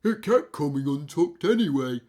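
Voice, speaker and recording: A woman voicing a kind giant in a children's storybook, with a booming, drawn-out, sing-song delivery. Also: animated, warm tone. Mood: hearty, encouraging. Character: kind, cheerful giant who sings to himself.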